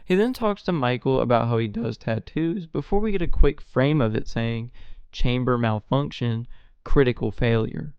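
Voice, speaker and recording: A man's voice: medium pitch, lively intonation, moderate pace, a little mellow, smooth, no echo, lo-fi sound. A man hosting a video essay, giving a scripted explainer narration.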